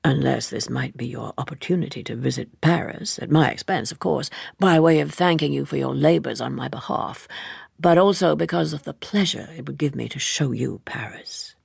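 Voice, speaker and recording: A woman voicing a suave, worldly gentleman in an audiobook, leisurely, wordy and teasingly ironic, an amused seducer. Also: extremely low pitch, moderate pace, vocal fry, breathy edge, no echo, clean studio sound, heavily acted. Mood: persuasive, teasing. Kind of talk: audiobook character dialogue.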